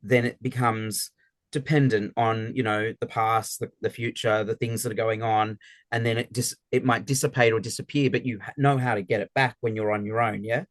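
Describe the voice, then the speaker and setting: A man's voice: lowish voice, fairly even intonation, moderate pace, dry recording. Encouraging male workshop facilitator, dry sound. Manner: encouraging, affirming, restarts words often. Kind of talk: interactive group session.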